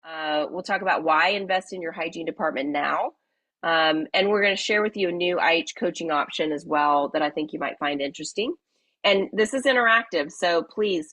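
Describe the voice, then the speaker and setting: A woman hosting a live webinar, talking in a friendly, chatty way with many fillers, slightly raspy from a cold. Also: on the low side, pushed and bright, no room sound. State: hoarse from a cold. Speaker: webinar host.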